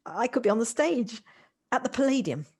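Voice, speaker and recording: A woman's voice: fairly high pitch, lively intonation, fairly quick, slightly rough. An amateur actress playing an effusive, encouraging and sympathetic woman in an audio drama, often stammering into her phrases. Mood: excited. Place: recorded at home on a personal device.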